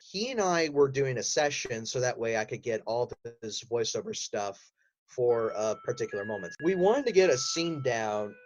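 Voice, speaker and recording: A man's voice: mid-range voice, darkish tone, a little hiss or hum, rough recording. A man speaking as a podcast guest, telling detailed, enthusiastic stories in casual interview conversation. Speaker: podcast guest, voice actor and audio drama writer.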